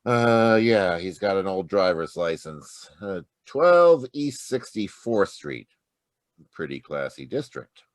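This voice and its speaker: A man's voice: deep voice, big pitch swings, slow, no echo, studio quality, full character voice. A man playing a short-tempered police lieutenant in an old-time radio detective drama, grumbling and blustering. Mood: gruff, businesslike. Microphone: video call.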